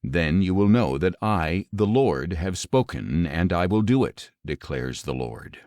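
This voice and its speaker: Man reading as a commanding, low-voiced God. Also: animated, a little mellow, no echo, clean studio sound. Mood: solemn, promising. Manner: grave, declamatory, measured. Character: commanding, solemn male God speaking prophecy. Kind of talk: audio Bible scripture reading.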